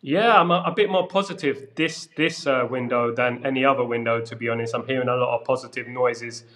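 A man, a guest giving measured, plain-spoken football views over a video call. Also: medium pitch, fairly even intonation, fairly bright tone. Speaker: guest pundit. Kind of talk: casual football chat. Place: room with brick wall, indoors. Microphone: podcast mic on video call.

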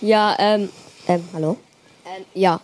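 A woman's voice: medium pitch, fairly even intonation, slow, darkish tone. A teenage girl explaining her search algorithm at a youth event, with some fillers. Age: teens. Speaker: student presenter.

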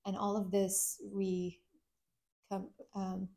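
A woman's voice: medium pitch, completely flat delivery, slow, dark tone. A young woman co-hosting a casual film review, explaining the story in a chatty way with fillers.

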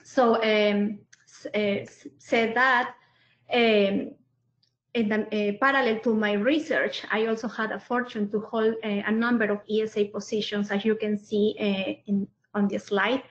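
Hesitant woman from Peru, flat voice. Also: medium pitch, a little slow. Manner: hesitant, many fillers. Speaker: panelist. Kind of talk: self-introduction on a webinar. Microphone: video call. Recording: muffled audio in a roomy space.

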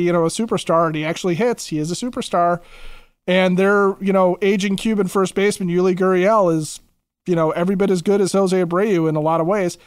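Male sports podcast co-host, high register, emphatic casual commentary, close dry recording. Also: no echo.

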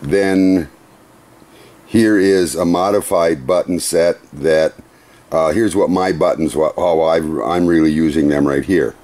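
A middle-aged man in a workshop, walking through a machine's controls in an unhurried, methodical, plain-spoken way. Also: sits low, fairly even intonation, a little mellow. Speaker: CNC machine demonstrator. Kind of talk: hands-on equipment demonstration. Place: workshop beside a CNC router.

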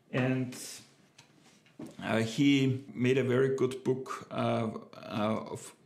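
Hesitant guy, bit of vocal fry, middle pitch, chatting to camera at his desk. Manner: hesitant, many fillers and restarts. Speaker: business owner reviewing a book. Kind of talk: casual unscripted talk to camera. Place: home office with bookshelves, indoors.

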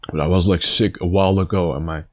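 Man, low pitch, slightly raspy, congested nose, halting casual gameplay commentary, thinking aloud. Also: steady tone. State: stuffy nose after being sick. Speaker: gaming video host.

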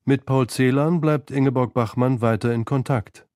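Mellow male documentary narrator, dry studio sound. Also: medium pitch, fairly even intonation, moderate pace, dry recording. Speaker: narrator of a literary feature. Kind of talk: scripted radio documentary narration. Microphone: close studio microphone.

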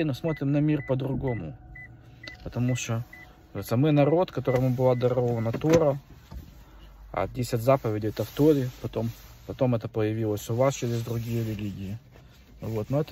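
A man's voice: medium pitch, dark tone. A middle-aged man recording a rambling, preachy monologue to a phone in a car at night, with many fillers. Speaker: vlogger. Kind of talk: casual monologue to camera. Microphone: phone held at arm's length. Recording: phone mic, distant, faint car noise.

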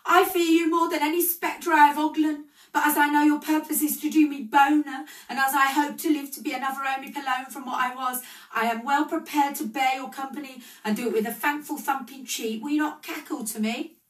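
Scrooge, as a woman reader puts him on, shrill and desperate. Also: steady tone, a little fast, lightly acted. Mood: fearful pleading. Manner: loud, strained pleading cries. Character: old male miser, fearful and desperate. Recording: roomy, distant mic.

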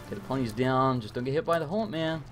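A man commentating a live gameplay stream, hoarse and losing his voice, talking frantically and swearing often. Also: mid-range voice, fast, fairly bright tone, faint music behind. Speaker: gaming streamer.

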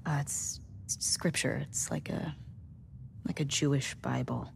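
A woman's voice: extremely low pitch, steady tone, a little slow, gravelly, a little hiss or hum, full character voice. A young American woman acting a wry survivor companion in a game cutscene, with a casual, conversational way of talking. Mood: easygoing. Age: young adult.

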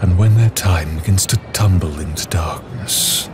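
A man voicing a sinister, patient alien dark warrior, brooding with menace and disdain. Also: low voice, unhurried, over music. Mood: brooding contempt.

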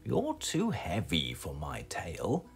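A British man voicing a sly, hungry male fox who coaxes slowly, in a storybook read-aloud. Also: low-pitched, wildly swooping pitch, faint music behind, full character voice. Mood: sly coaxing.

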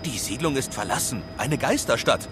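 A man's voice: expressive, normal speed, natural voice. An actor playing an odd, dutiful police officer in an audio play who announces his own actions in clipped phrases. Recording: rumbling vehicle noise behind.

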